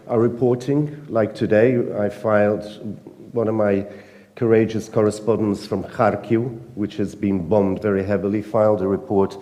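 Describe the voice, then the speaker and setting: A man with a British accent, speaking earnestly and emphatically at a conference panel, pausing often with fillers. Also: lowish voice, warm tone, big-room sound. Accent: British English. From Britain. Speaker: panel speaker, newspaper editor. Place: conference hall.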